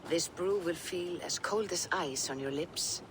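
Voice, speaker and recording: Calm potion brewer acted by a woman, deep female voice, slow and cautioning, game cutscene. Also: close to an everyday voice. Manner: measured, cautioning. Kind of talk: dramatic video game acting. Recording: clear rumbling background noise.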